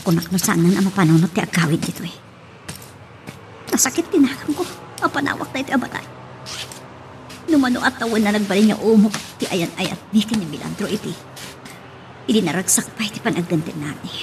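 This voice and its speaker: A woman playing a scheming, embittered wife in a radio drama, dramatic and expressive, with a Filipino accent. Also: medium pitch, a little fast, long pauses, distant mic, background noise, close to an everyday voice. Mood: brooding, bitter. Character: scheming, embittered wife in a failing childless marriage.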